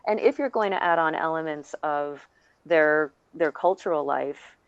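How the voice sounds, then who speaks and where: Low gravelly lady panelist, makes her points firmly, bit muffled lo-fi sound. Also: measured pace, no echo. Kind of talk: casual panel discussion. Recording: slightly dull, lo-fi audio.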